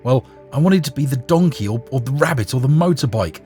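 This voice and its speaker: A man voicing a sulky, upset ten-year-old schoolboy in a storybook, gruff, grumbling and whiny. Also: mid-range voice, animated, fairly quick, mellow, soft background music, pitched above the performer's own voice, close to an everyday voice. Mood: upset, whining. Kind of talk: storybook character voice.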